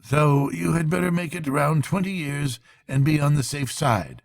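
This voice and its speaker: A man's voice: on the high side, expressive, normal speed, gravelly, no echo, clean studio sound, pitched above the performer's own voice. A man voicing a fussy male court clerk from a storybook, who reckons things up in a pedantic, matter-of-fact way. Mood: drily pedantic.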